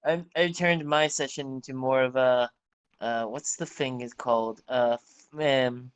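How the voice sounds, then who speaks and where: A man's voice: mid-range voice, fairly even intonation, unhurried, clean tone. A man playing in a tabletop game, chatting casually and hesitating while he searches for words. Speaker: player.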